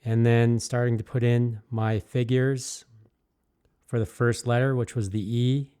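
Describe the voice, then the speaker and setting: A man's voice: mid-range voice, little pitch movement, measured pace, mellow, clear, resonant tone. A man narrating his own work in an easygoing, rambling way, candid and enthusiastic, with many fillers and restarts. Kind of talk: casual reflective voice-over.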